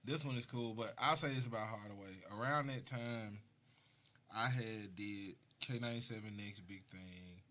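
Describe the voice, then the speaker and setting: A man's voice: on the low side, moderate pace, bright, forceful tone. A man from Mississippi with a Southern US accent, a rapper telling stories casually as a guest on a talk show. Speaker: rapper being interviewed.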